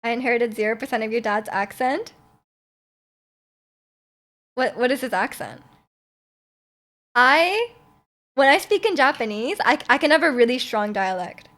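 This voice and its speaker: A woman's voice: on the high side, animated, unhurried, long pauses, a bit bright. A young woman streamer chatting casually with her viewers, laughing often and restarting her sentences. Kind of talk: casual chat reading viewer comments.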